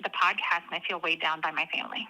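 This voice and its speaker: A woman's voice: sits low, fairly even intonation, fast, sharp tone, rough recording. A woman calling in to an advice show, hesitant and searching for words as she talks emotionally. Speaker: caller. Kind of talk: phone-in conversation.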